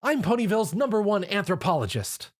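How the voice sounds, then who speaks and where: A man voicing an excitable, gushing young female pony in an animated storybook reading. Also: high voice, fairly quick, voice pushed up. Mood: giddy excitement. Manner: gushing, eager. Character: excitable, apologetic young female pony, giddy human enthusiast.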